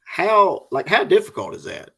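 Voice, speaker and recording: A middle-aged man from the Deep South with a Southern US accent, hosting a casual interview in an informal, folksy way. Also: sing-song, normal speed, bright, forceful tone, husky. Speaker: host, interviewer.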